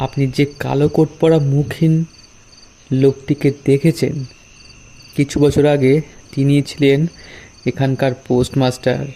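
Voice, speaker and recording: Gravelly, mellow-voiced worried village man, acted. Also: mid-range voice, little pitch movement, measured pace, long pauses. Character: anxious, loyal village helper at a post office.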